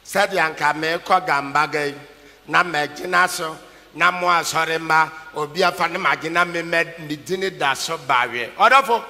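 Older Ghanaian preacher, bright high-pitched voice. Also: male voice, a little slow. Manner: emphatic, repeats key phrases, calls for responses. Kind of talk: sermon. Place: large packed church auditorium, on stage. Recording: echoey large hall PA, background noise.

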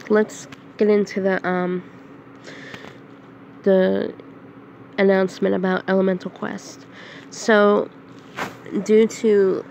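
A woman with a head cold, sounding nasal and hoarse, talking to her channel's viewers in a slow, halting casual announcement. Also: medium pitch, darkish tone, slight background noise, rough recording. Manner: slow, halting, with frequent throat clearing and coughs. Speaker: channel host. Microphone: phone screen recorder.

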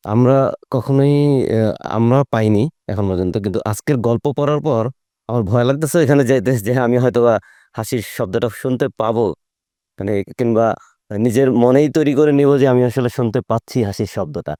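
A man's voice: medium pitch, a little fast, warm tone, no room sound, studio quality. A man from Bangladesh with a Bangladeshi accent, chatting casually in a group discussion. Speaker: participant in a group chat. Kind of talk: casual chat.

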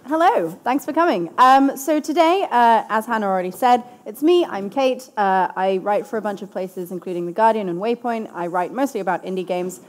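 A woman's voice: mid-range voice, fairly quick, hardly any pauses. A woman moderating a panel in a small event space before a seated audience. She speaks casually into a handheld mic, with a few fillers. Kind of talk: live panel hosting.

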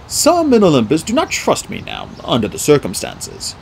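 Man voicing a measured, dignified middle-aged centaur mentor. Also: a little high, huge pitch swings, creaky, a little hiss or hum, full character voice, rough recording. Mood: resigned. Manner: measured, formal, deliberate. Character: wise, kindly middle-aged male centaur teacher, grave and dignified. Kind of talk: audiobook character dialogue.

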